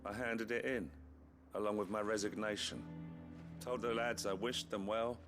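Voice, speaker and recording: An actor playing a loyal, plain-spoken army captain in a fantasy game, sincere and courteous. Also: male voice, on the low side, steady tone, normal speed, music in the background, lightly acted. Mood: resolute. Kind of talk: scripted game dialogue.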